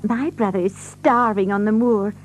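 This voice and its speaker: An actress playing a distraught, protective servant's wife in an audio drama, earnest and pleading. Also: female voice, mid-range voice, fairly even intonation, moderate pace, faint music behind, lightly acted, low-quality recording. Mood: pleading, anguished. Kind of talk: radio-play dramatic acting.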